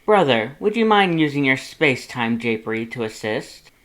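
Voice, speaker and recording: A man's voice: medium pitch, sing-song, normal speed, fairly bright tone. A man voicing a pompous, dramatic male skeleton with a grandiose, formal, over-enunciated way of talking. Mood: grandiose, cheerful.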